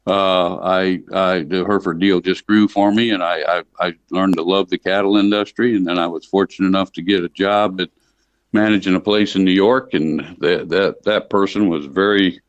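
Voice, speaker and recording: A man's voice: deep voice, fairly even intonation, normal speed. An older American man from Michigan talking conversationally as an interview guest, plain-spoken and folksy, often repeating words as he goes. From Michigan, United States. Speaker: interview guest, livestock association chief executive. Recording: dull lo-fi line in a roomy space.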